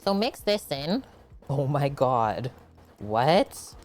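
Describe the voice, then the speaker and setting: A woman's voice: rumbling low, big pitch swings, very slow, a little mellow, slight background noise. A woman hosting a casual home cooking livestream, chatting as she cooks and answering viewer comments. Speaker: cooking show host. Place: home kitchen.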